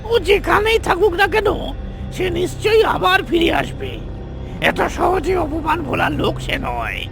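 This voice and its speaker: A man voicing a fawning, jittery talking rabbit minion in a fairy-tale cartoon, squeaky and mocking. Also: huge pitch swings, moderate pace, creaky, exaggerated voice. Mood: nervous, eager. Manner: mocking, then stammering and obsequious. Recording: loud background music.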